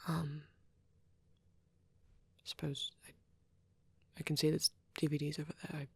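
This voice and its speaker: A woman voicing a shy, nervous young male vampire in a soft ASMR roleplay, hesitant and stammering, with a breathy, husky voice. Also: low-pitched, level tone, measured pace, dry recording, exaggerated voice. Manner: hesitant, stammering, self-doubting. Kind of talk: ASMR boyfriend roleplay, improvised rambling.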